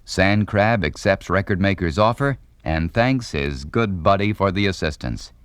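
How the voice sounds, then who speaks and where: A man's voice: sits low, lively intonation, a little slow, fairly bright tone, clear, resonant tone, dry recording, studio quality. A man reading scripted instructional narration with a polished, formal announcer delivery.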